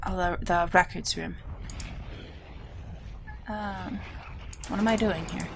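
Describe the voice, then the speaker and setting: A woman's voice: lowish voice, steady tone, slow, vocal fry, noisy background. A young woman with a stuffed-up nose, thinking aloud casually while playing a game. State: stuffed up, sniffling. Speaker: let's play gamer. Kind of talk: casual gameplay commentary.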